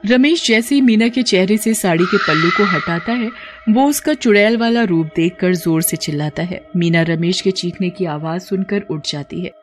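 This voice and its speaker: A woman narrating a cartoon horror story in a steady, scripted manner. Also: on the low side, normal speed, music in the background.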